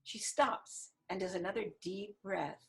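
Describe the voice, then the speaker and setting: A woman's voice: medium pitch, steady tone, measured pace, fairly bright tone. A woman reading screenplay stage directions as scripted narration over a video call.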